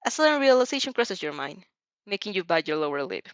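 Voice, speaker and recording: A woman giving an expressive, emotive reading of a story as an amateur narrator, now and then stumbling over lines. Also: mid-range voice, quick pace, dry recording, dull audio. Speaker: amateur fan fiction narrator.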